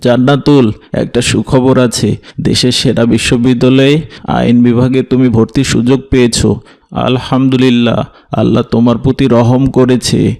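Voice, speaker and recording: Cartoon benefactor, male actor: flat intonation, medium pitch, pious, measured, earnest. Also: vocal fry, a little hiss or hum, natural voice. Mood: encouraging. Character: generous, pious adult man, a kindly benefactor. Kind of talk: scripted cartoon dialogue.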